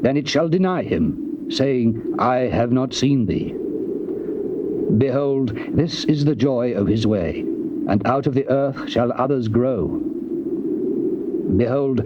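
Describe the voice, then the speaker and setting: An actor playing a stern, moralizing counsellor, solemn and measured, in a theatrical dramatized Bible reading. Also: male voice, medium pitch, natural voice. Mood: solemn admonishing. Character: Bildad, stern moralizing counsellor of the righteous sufferer. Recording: muffled, very hissy old cassette tape transfer.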